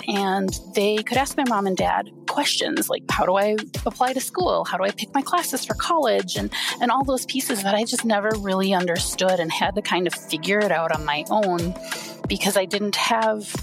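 A woman's voice: medium pitch, moderate pace, gravelly, music in the background. A woman from Wisconsin, a guest educator speaking candidly and reflectively in an interview. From Wisconsin, USA. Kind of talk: conversational interview.

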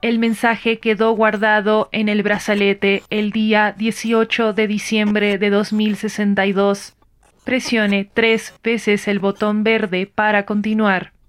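A woman voicing a calm female AI assistant in an audio drama, speaking in polite, automated phrasing. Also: medium pitch, slow pace, smooth, soft background music, close to an everyday voice. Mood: neutral, automated. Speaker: artificial intelligence voice.

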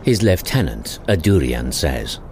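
A man with a very deep voice narrates an audio drama in a lively, swooping delivery. He tells it wryly, as scripted storytelling, over clear background noise. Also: measured pace. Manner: wry storytelling. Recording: clearly noisy ambient bed behind voice.

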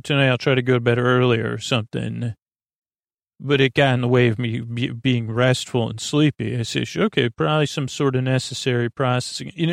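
Man, creaky fry, bedtime podcast host, soothing rambling delivery, halting and self-interrupting, dry recording. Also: medium pitch, no room sound.

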